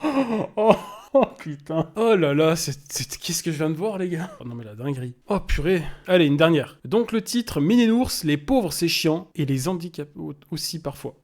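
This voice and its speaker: A man's voice: fairly high pitch, animated, moderate pace, a little mellow. A man giving casual, exclamatory live reaction commentary as a streamer, amused and informal.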